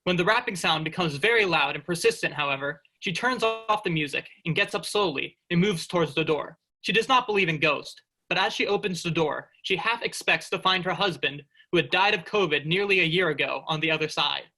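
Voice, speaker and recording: A man's voice: fairly high pitch, a little fast, few pauses, pushed and bright, low-quality recording. A man reading stage directions as scripted narration for a play.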